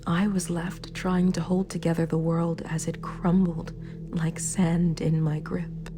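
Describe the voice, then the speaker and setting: Low-voiced villain queen played by a woman, hushed and breathy, slow formal delivery, somber and sympathetic, ASMR monologue, spooky music behind. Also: level tone, lightly acted. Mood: regretful. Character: remorseful, sympathetic villain ruler, once a hero. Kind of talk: scripted ASMR roleplay monologue. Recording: clear background music. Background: dark, spooky background music.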